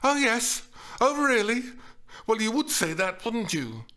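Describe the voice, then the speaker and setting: High-pitched indignant woman, acted out by a male narrator, sharp-tongued, exclamatory, bright forceful tone, wildly swooping, storybook drama. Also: sing-song, measured pace, exaggerated voice. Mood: outraged. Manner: exclamatory, scathing, incredulous. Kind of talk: dramatised audiobook dialogue.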